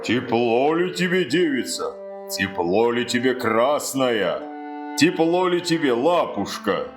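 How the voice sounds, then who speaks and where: An actor playing an old frost spirit in a fairy-tale audio play, with teasing, drawn-out, singsong questioning. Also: medium pitch, big pitch swings, music in the background. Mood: teasing, testing.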